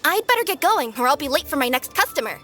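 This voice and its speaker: A cheerful young cat yokai courier with a very high voice and lively swoops. She is breezy and playful, in game dialogue with faint music behind. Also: quick pace. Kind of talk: video game character dialogue.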